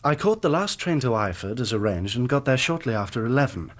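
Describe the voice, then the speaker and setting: An actor playing a young hydraulic engineer, speaking formally, politely and a little guardedly in a radio drama. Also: male voice, medium pitch, sing-song, brisk, lightly acted. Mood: recounting. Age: young adult. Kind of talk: 1980s radio drama acting.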